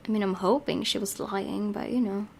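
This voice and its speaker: A woman's voice: mid-range voice, steady tone, normal speed, darkish tone, a little hiss or hum. A woman hosting a let's play, reading a visual novel aloud and adding casual commentary. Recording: game music behind the voice.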